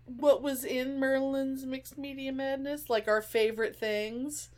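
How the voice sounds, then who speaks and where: A woman co-hosting a podcast in casual chat, with an excitable, emphatic way of talking. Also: high voice, unhurried. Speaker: podcast co-host.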